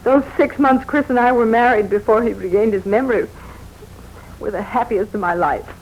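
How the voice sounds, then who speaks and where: An actress playing an anxious, devoted but unhappy young wife in a 1940s radio drama, on a hissy recording. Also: female voice, on the high side, moderate pace, darkish tone, dull audio, close to an everyday voice. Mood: tearful, despairing.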